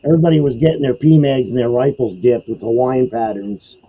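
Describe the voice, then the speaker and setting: A man's voice: medium pitch, a little fast, warm tone, husky, poor audio. A man chatting on a podcast call, easygoing, laughing often and asking questions. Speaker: podcast co-host. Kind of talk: casual livestream chat.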